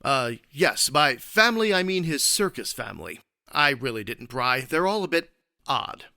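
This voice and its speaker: Earnest superhero played by a man, formal, straight-laced, lively swoops, bright forceful tone, radio comedy. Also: mid-range voice, normal speed, vocal fry, dry recording, clean studio sound, heavily acted. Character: earnest, stuffy adult male caped crime-fighting hero. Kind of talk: comedy radio play acting.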